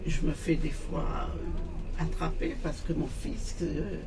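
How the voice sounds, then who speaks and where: A woman's voice: sits low, level tone, takes it slowly. An older woman from Morocco answering interview questions, hesitant and full of fillers, with occasional chuckles. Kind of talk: recorded oral history interview. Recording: distant mic, noisy room.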